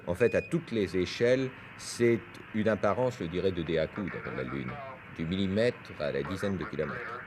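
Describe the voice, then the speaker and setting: A man's voice: low voice, lively intonation, moderate pace, a crowd in the background. A man giving explanatory, didactic science commentary over footage on a 1970s television news broadcast. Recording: noisy 1971 French TV newsreel.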